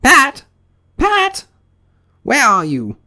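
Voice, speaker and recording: A man's voice: high-pitched, wildly swooping pitch, measured pace, voice pushed up, exaggerated voice. A man voicing a fussy, bad-tempered rabbit master in a children's storybook, scolding and giving imperious orders. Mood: imperious, angry.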